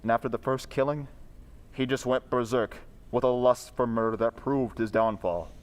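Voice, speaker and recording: A man's voice: medium pitch, steady tone, normal speed, natural voice. An actor playing an authoritative male crime-fighting vigilante in an old-time radio drama. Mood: calm, explanatory.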